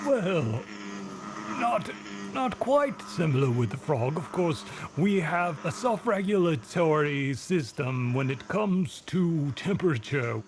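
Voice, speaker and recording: A man playing an ancient, jolly, chatty Santa Claus with a German accent, explaining things in a halting, slightly fussy way. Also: on the high side, animated, background noise. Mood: earnest, explanatory. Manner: halting, explanatory, stumbling over words. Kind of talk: improvised comic character interview.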